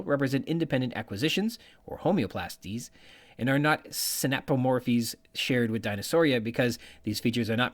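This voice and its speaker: A man hosting a podcast, explaining research in a semi-scripted way, reading out technical terms and quotations with informal asides. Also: medium pitch. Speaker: podcast host.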